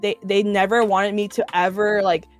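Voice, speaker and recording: A woman's voice: mid-range voice, flat delivery, moderate pace, soft background music. A young woman chatting casually, rambling with lots of "like". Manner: rambling, many fillers and restarts. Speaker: vtuber. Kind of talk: casual chat.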